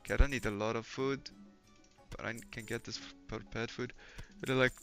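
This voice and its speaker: A man's voice: medium pitch, steady tone, a little fast, creaky, soft background music. A young man from Poland streaming a game, chatting casually with viewers, laid-back and swearing often. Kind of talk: casual live-stream chat while gaming. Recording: slightly dull lo-fi stream audio.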